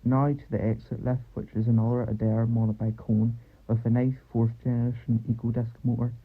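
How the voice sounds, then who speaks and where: A man's voice: mid-range voice, monotone, fairly quick, faint music behind. A man giving an informal voice-over narration as the guide of a lift tour. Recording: muffled lo-fi mic, loud noise.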